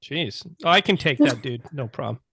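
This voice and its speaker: A man's voice: mid-range voice, animated, moderate pace. A man co-hosting a podcast over a video call, joking and teasing in casual chat. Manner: joking, teasing, loud bursts. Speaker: podcast co-host.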